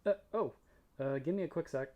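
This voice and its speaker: A man's voice: a little high, fairly quick, noisy background, lightly acted. A man playing a nervous, friendly young guy in an audio roleplay, talking in a casual, hesitant, self-deprecating way. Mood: friendly, flustered. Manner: chatty, hesitant, sheepish. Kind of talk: casual one-sided roleplay dialogue.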